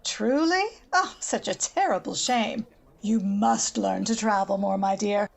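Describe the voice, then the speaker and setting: A woman's voice: mid-range voice, a little slow, vocal fry. A woman playing a conceited, bossy older American society matron in an old-time radio drama, haughty and gushing. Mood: gushing, condescending.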